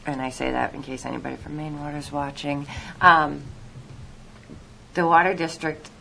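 A woman's voice: low voice, flat delivery, moderate pace, vocal fry, sharp tone, a little hiss or hum, poor audio. A woman explaining things informally and with careful, hesitant diplomacy as an official at a public meeting.

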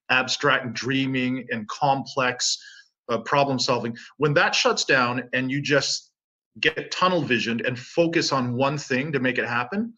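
Bright-voiced man in his forties, lo-fi. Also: medium pitch. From Canada. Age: 40s. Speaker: guest, martial arts teacher. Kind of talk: casual interview.

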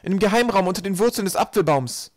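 A man's voice: high-pitched, animated, fast talker, a little mellow, no room sound, clean studio sound, voice pushed up. A man voicing an earnest, resourceful teenage girl in a storybook, explaining things insistently. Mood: urgent, earnest.

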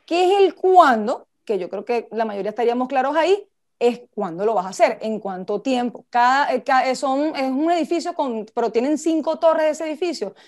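A woman's voice: sits high, animated, moderate pace. A woman with a Venezuelan accent giving an informal lecture, talking conversationally and putting questions to her listeners. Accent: Venezuelan Spanish. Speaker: project management instructor and speaker.

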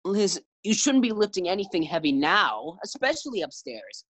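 A woman's voice: mid-range voice, sing-song, normal speed, a bit bright, husky, no echo. A woman acting an anxious, doting, befuddled husband who stammers, in a 1940s-style radio sitcom. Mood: dazed, flustered.

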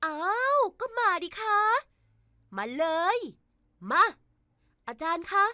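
A woman voicing an earnest, bossy schoolgirl class leader in an animated cartoon. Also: very high-pitched, slow, no room sound, studio quality, full character voice. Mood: troubled. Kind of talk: animated cartoon dialogue and narration.

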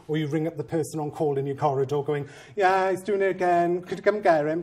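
Welsh head teacher in his 40s, lively conference presenter, theatrical and teasing, lapel mic. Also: a little high. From Wales. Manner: animated and theatrical, acting out quoted voices, teasing the audience. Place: conference training room with seated audience.

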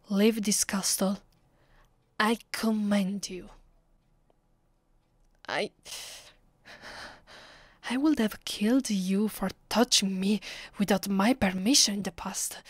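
A woman with an Italian accent playing a proud, conflicted vampire mistress in a breathy, whispered ASMR roleplay. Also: medium pitch, no room sound. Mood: commanding, pained, then flustered, pained. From Italy. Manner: slow, deliberate, haughty.

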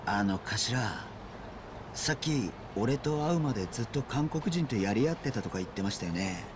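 An actor playing an earnest young yakuza underling in a video game, respectful yet wry, with rough clipped deference. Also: male voice, medium pitch, animated, moderate pace, noisy background, lightly acted. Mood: suspicious. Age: young adult. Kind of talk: video game cutscene acting.

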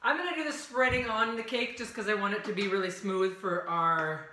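A woman in her thirties hosting a casual home cooking demonstration, giving relaxed step-by-step instructions with a teasing edge. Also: medium pitch, brisk. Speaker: home cooking show host. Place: home kitchen, indoors. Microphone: far camera. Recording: echoey kitchen room.

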